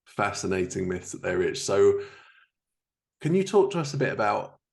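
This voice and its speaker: A man's voice: fairly low pitch, very animated, moderate pace, gravelly, darkish tone. A man hosting a podcast, a fantasy author asking questions in casual interview chat.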